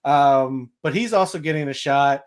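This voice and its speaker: A man's voice: medium pitch, normal speed. A man hosting a sports podcast, giving casual, matter-of-fact baseball analysis.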